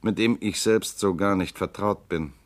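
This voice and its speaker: Guy doing a slick lawyer type, lowish voice, calm and precise, old radio play. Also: normal speed, close to an everyday voice. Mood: cool. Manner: calm, precise, coolly polite. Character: suave composed male lawyer-politician suspected robot. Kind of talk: 1960s-70s radio drama acting.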